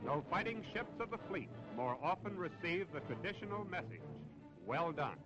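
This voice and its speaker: Male American newsreel narrator, 1940s style: high voice, emphatic scripted delivery, loud music underneath. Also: big pitch swings, normal speed, lo-fi sound. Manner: dramatic, emphatic, declamatory patriotic delivery.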